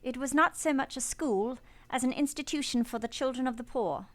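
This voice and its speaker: A woman playing an earnest young orphan who narrates her childhood in measured first person for a radio play. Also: high voice, lightly acted. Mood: reflective.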